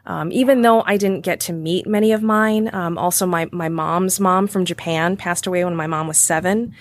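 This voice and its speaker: A woman's voice: on the low side, steady tone, normal speed. A woman in her thirties with a Wisconsin accent, talking casually as an interview guest, chatty with many fillers. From Wisconsin, USA.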